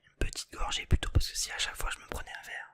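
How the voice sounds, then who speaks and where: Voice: quick pace, sharp tone. A person with a light southern French accent, whispering an informal, unscripted ASMR chat straight to the viewers. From southern France. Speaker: ASMR video creator.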